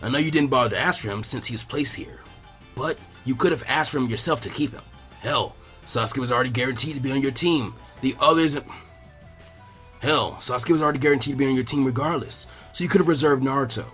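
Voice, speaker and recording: Male reader as old warrior chief in anime fan fiction, lowered voice, wry, assured. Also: quick pace, fairly bright tone, faint music behind, lightly acted. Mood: wry, chiding.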